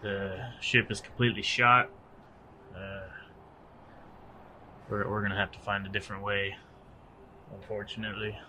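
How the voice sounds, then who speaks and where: A man's voice: on the low side, little pitch movement, moderate pace, long pauses, sharp tone, slight background noise. A man talking casually as a player in a tabletop roleplaying game, describing what his character does. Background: faint music.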